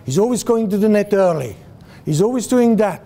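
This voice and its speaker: A man's voice: high voice, lively intonation, fairly quick, dark tone. A man in his sixties with a Swiss accent, giving a guest lecture to students in a classroom, gesturing and asking the audience questions, with many fillers and restarts. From Switzerland. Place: classroom with a screen, indoors. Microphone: far camera, no mic seen.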